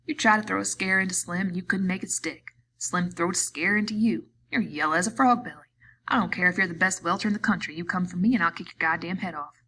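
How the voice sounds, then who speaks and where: A woman's voice: on the low side, quick pace, sharp tone, breathy edge, low-quality recording. A woman voicing a crude, taunting ranch hand. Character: coarse, mocking ranch hand, man.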